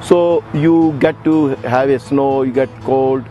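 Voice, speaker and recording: A man's voice: medium pitch, steady tone, slow pace, lo-fi sound, faint music behind. An older man from India with an Indian accent, giving unscripted answers in an interview. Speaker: interviewee, chemical engineer.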